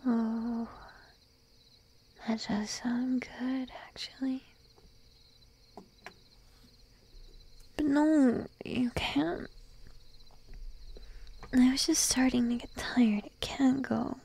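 Woman doing a timid clingy sheep girlfriend, asmr roleplay, breathy whispers, really slow and sleepy. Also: high-pitched, steady tone, heavily acted. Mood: sleepy, pleading. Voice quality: soft, breathy, mostly whispered. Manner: timid, hesitant, drowsy, slow and cooing. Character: shy, needy young sheep-girl girlfriend, clingy and affectionate.

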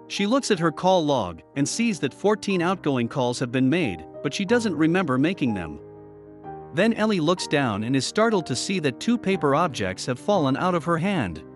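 A man reading scripted story narration with a dramatic storytelling delivery. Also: a little high. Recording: voice-over with music bed. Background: music.

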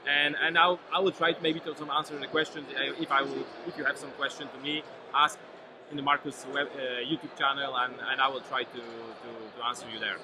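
A man presenting products informally as a company chief, halting and repeating words in English. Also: mid-range voice, flat delivery. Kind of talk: informal product demonstration in an interview. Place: busy expo hall, at shop shelves. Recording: noisy expo hall ambience behind.

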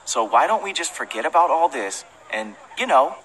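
Confident, conciliatory young male rival for a game, fairly high, animated, music behind. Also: moderate pace, a little hiss or hum, low-quality recording. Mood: easygoing. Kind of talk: animated video game voice acting.

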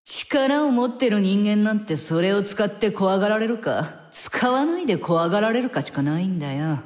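An actress playing a brash, cynical woman with blunt, mocking, rough speech in an anime game cutscene. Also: medium pitch, animated, normal speed, close to an everyday voice. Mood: scornful. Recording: long reverb, music behind.

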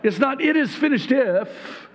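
A man in his fifties with an Arabic accent, preaching a sermon from a church pulpit, joking and building to emphatic, near-shouted points. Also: extremely high pitch, animated, measured pace, muffled recording. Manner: humorous, rising to emphatic near-shouting peaks. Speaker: pastor. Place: church stage behind a pulpit. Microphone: pulpit microphone.

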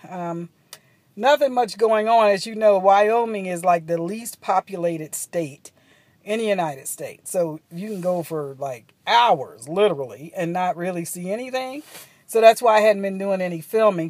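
A woman's voice: lowish voice, a little slow, a little mellow, dry recording. A middle-aged woman chatting to her phone camera from a parked minivan at a gas station, rambling with ums and asides. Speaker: travel vlogger. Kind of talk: casual vlog chat to camera. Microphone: phone camera at arm's length.

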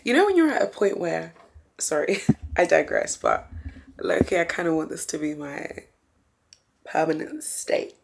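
A woman vlogger with a raspy voice, signing off her weekly vlog with an unhurried, thoughtful reflection. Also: lowish voice, sing-song, a little hiss or hum.

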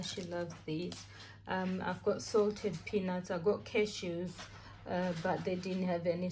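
A woman, a mother, chatting casually to camera about her groceries, unhurried and full of ums and uhs. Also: on the low side, noisy background. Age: adult mother. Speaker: vlogger showing a grocery haul. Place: home, at a table with groceries.

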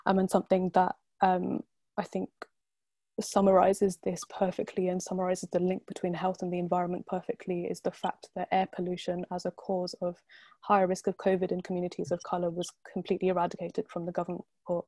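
A woman in her twenties speaking as a panelist on a video call, making a measured, articulate argument through earphones from a bright room at home. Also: fairly low pitch, completely flat delivery, no room sound. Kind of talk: online panel discussion. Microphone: earphone headset on a video call.

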